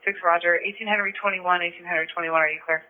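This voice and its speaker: A man's voice: high voice, steady tone, quick pace, narrow, tinny audio. A man working as a police dispatcher, giving short radio calls over a scanner.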